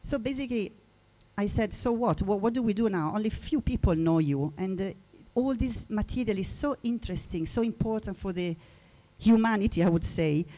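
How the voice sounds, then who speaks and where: A woman's voice: medium pitch, moderate pace, rough recording. A woman in her forties from Italy with an Italian accent, speaking at a book launch in a chatty, personal way with frequent restarts. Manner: warm, chatty, with frequent restarts and little laughs. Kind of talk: personal speech to an audience.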